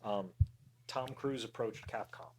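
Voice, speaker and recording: A man's voice: on the low side, unhurried, breathy edge. A man chatting casually as a podcast co-host, informal and now and then swearing. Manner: informal, mildly profane.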